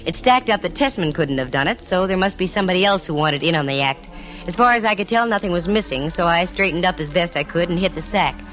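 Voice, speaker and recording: A woman's voice: fairly low pitch, expressive, fast talker, fairly bright tone, low-quality recording. An actress playing a breezy, wry female private eye narrating her case in slangy 1940s radio style. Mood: wry, brisk. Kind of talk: 1940s radio detective acting. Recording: old radio recording with music.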